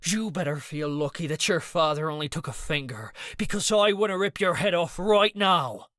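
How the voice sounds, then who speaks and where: A man's voice: on the low side, a little slow, a bit bright, dry recording, studio quality. A man voicing a volatile young man who growls threats in rage. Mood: threatening.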